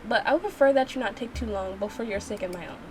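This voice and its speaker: A woman voicing a serious male mafia boss from a game, speaking in a measured, formal, earnest way. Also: mid-range voice, noisy background, natural voice. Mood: firm, measured. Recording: music behind the voice.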